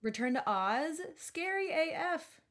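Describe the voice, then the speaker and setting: A woman with a fairly high voice talks as a podcast co-host. Her chat is casual and animated, full of joking asides and easy swearing. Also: unhurried. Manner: animated, profane, jokey.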